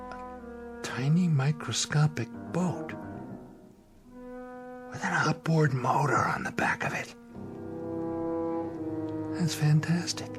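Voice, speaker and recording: Spaceship crewman, incredulous, deliberate pace, expressive swings, radio play, clear music underneath. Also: a little high, full character voice. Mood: amazed, puzzled. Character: skeptical male spaceship crewman turning awestruck. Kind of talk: radio drama acting.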